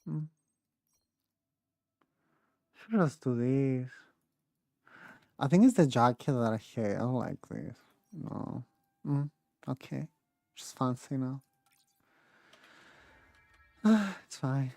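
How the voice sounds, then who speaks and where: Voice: mid-range voice, very animated, takes it slowly, gravelly, long pauses, warm tone, dry recording, clean studio sound. A game streamer muttering casually and indecisively to themselves while playing. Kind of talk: casual gameplay commentary.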